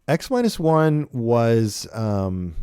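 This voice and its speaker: A man's voice: mid-range voice, sing-song, very slow, warm tone, smooth, no room sound, clean studio sound. A man hosting a casual podcast discussion, thinking aloud with hesitations and often checking in with "right". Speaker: podcast host. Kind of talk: casual podcast discussion of old radio shows.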